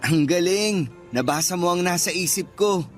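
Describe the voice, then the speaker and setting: A man's voice: high voice, moderate pace, soft background music, close to an everyday voice. A male actor playing a kind, good-natured young suitor in a Filipino radio drama, sincere and friendly.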